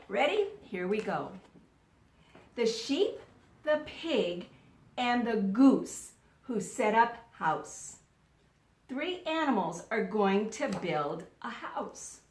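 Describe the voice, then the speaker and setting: A woman teaching young children in a read-aloud lesson, speaking deliberately and instructively, in the manner of an elementary school teacher. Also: fairly high pitch. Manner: deliberate, instructional, repeats words for pupils to echo. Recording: roomy, echoing sound.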